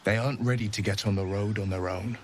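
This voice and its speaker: A man's voice: fairly low pitch, steady tone, fast talker, natural voice. A man playing a gallant, modest adventurer of noble upbringing in a fantasy game, speaking in a courtly, earnest and slightly bashful way. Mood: downcast, earnest. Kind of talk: cinematic fantasy game acting.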